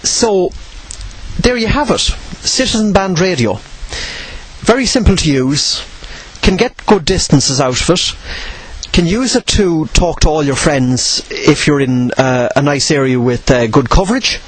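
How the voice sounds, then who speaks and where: A man with a slightly husky voice giving an informal tutorial, explaining in a measured way and posing questions he then answers. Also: a little high, lively intonation, poor audio. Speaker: presenter of instructional radio videos.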